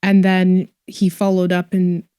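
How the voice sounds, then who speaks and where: A woman's voice: fairly low pitch, monotone, a little slow, warm tone, clean tone, no echo, studio quality. A young woman from Scotland with a mixed Scottish and Canadian accent, chatting as an actress guest, giggly and full of likes and ums. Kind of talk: casual interview chat.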